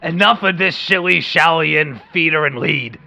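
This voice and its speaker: A man's voice: a little high, moderate pace, gravelly, very noisy. A man voicing a rattled, aggressive gangster in an amateur radio play, full of exclamatory old-time tough-guy bluster. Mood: blustering, alarmed. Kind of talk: amateur radio theatre reading of a comic book.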